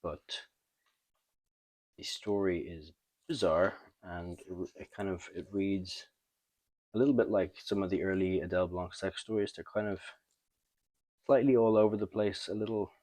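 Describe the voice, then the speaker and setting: A man hosting a comic book review in a casual, unscripted way, thinking aloud with halting, trailing pauses. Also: low voice, fairly even intonation, darkish tone, dry recording. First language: not French. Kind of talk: casual unscripted show-and-tell commentary.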